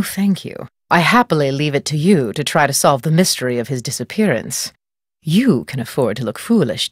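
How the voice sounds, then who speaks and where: An actress playing a haughty, gossipy middle-aged novelist in a video game, speaking in an arch, condescending, theatrical way. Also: female voice, low-pitched, no echo, clean studio sound. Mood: haughty, sarcastic. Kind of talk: video game character acting.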